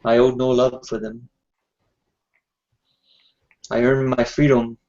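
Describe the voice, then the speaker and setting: A man playing a tabletop game, speaking in a slow, formal way in character. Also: mid-range voice, flat delivery, dark tone, muffled recording, slight background noise. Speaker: player. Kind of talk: tabletop roleplay, partly in character.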